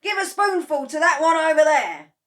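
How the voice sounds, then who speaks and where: A woman voicing an excitable, bossy farmer father in a storybook reading. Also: extremely high pitch, takes it slowly, pitched above the performer's own voice, full character voice. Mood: excited. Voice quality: loud, bossy.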